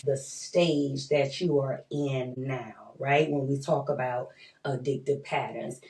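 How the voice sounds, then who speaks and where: A woman's voice: rumbling low, fairly even intonation, slow, mellow. A woman hosting an interview, chatty and affirming, often tagging her remarks with "right?" and speaking in casual grammar. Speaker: interview host. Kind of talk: conversational interview. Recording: roomy sound, mic at a distance.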